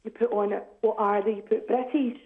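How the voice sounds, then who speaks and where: A woman's voice: medium pitch, fairly even intonation, brisk. A woman from Scotland, calling a radio phone-in and talking in a hesitant, conversational way. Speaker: caller. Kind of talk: phone-in call. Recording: tinny echoey phone line.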